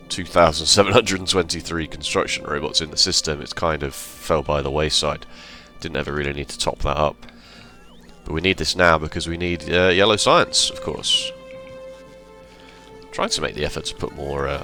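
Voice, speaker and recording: A man giving relaxed, rambling commentary over his gameplay, sounding slightly hoarse and correcting himself as he goes. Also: a bass voice. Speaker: let's play host.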